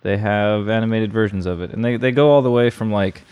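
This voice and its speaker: A man's voice: on the low side, fairly quick, a little mellow, clean tone, muffled recording. A man co-hosting a podcast, explaining things in casual conversation. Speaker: podcast co-host. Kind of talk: casual chat.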